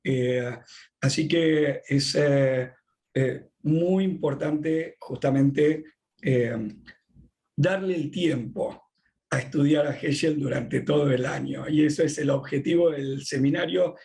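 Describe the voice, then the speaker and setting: Gravelly Argentine man, halting and measured. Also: on the high side, darkish tone. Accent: Argentine Spanish. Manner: measured, many drawn-out "eh" fillers. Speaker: rabbi, podcast guest. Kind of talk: thoughtful conversational interview.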